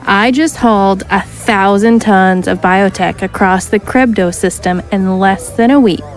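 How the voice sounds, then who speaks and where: A woman's voice: medium pitch, unhurried, faint music behind, close to an everyday voice. Woman playing a brash alien space smuggler. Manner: brash, slangy and wisecracking. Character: tough wisecracking female alien space smuggler.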